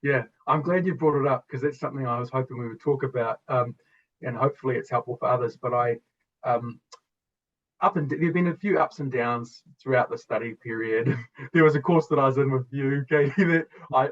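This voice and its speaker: A man in his twenties with a New Zealand accent, a guest in an interview speaking reflectively and earnestly with soft laughs. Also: medium pitch, fairly quick, muffled recording. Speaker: guest, former student. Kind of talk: conversational interview.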